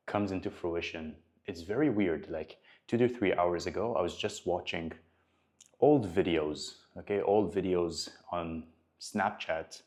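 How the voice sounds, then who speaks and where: A man in his twenties, a personal trainer, talking to the camera about his progress and his goals in an earnest, motivational way, often restarting his sentences. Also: low-pitched. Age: 20s.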